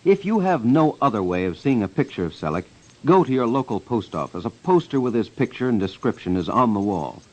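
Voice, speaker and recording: A man's voice: medium pitch, sing-song, brisk, darkish tone. An American man hosting a vintage radio crime show, making urgent, emphatic, dramatic appeals to listeners. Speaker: radio host and investigator. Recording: dull vintage radio, music underneath.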